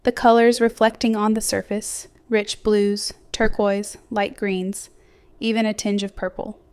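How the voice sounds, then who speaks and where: A woman gently guiding a sleep hypnosis meditation in a slow, soothing, scripted delivery with softly trailing phrases. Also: mid-range voice, little pitch movement, clear, resonant tone, no echo. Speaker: hypnosis guide.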